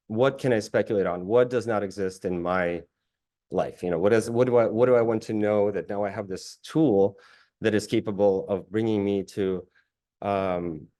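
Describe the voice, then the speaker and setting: Low-voiced man from Russia presenting his work. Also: a little mellow. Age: late 30s. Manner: thoughtful, with frequent restarts and fillers. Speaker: guest artist giving a talk.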